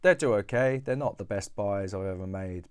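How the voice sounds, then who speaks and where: A man's voice: lowish voice, normal speed, a little mellow, clean tone, no echo. A coin dealer with a cold, chatting casually to camera about coins he bought, rambling and repeating words. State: has a cold.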